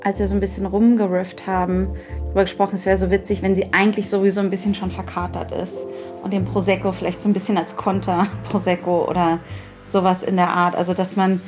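A woman's voice: mid-range voice, level tone, quick pace, a little mellow, faint music behind. A woman talking informally and thinking aloud as a co-host in an unscripted discussion. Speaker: podcast co-host and radio play writer.

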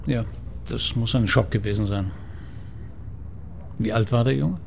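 An actor playing a persistent, politely ironic police commissioner in a naturalistic radio crime drama. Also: male voice, fairly low pitch, measured pace, vocal fry, a little mellow, slight background noise. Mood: polite, probing. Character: male police commissioner, persistent, dryly sardonic.

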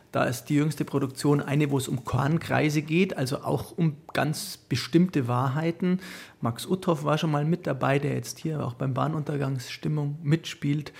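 A male radio interviewer with a slightly high voice and a husky edge. He phrases his prepared questions in a measured, articulate manner. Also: a little mellow.

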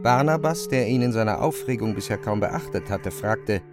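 An actor as a sober, composed storyteller, reading literary narration in a radio drama. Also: male voice, on the low side, normal speed, over music, close to an everyday voice.

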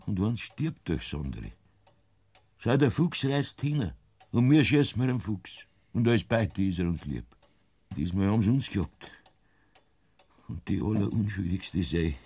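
An actor playing a stubborn, grieving old Bavarian mountain farmer in a classic radio play, speaking slowly and wearily with a husky voice. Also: male voice, a little mellow, full character voice. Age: older. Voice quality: husky, deep. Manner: slow, weary, consoling.

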